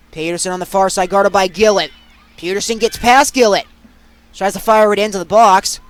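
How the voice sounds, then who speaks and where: Young adult male sportscaster, very high-pitched, excitable, clipped delivery for live game commentary. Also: clean tone, dry recording. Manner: excitable, punchy delivery in short clipped phrases. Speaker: play-by-play sportscaster. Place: at sports venues, live during games.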